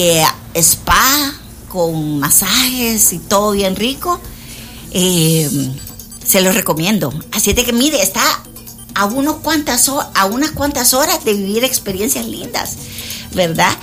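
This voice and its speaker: A woman's voice: mid-range voice, expressive, slow, a bit bright, soft background music. A woman, a motivational coach chatting on the radio and addressing listeners directly. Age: 60s. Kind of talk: casual radio chat.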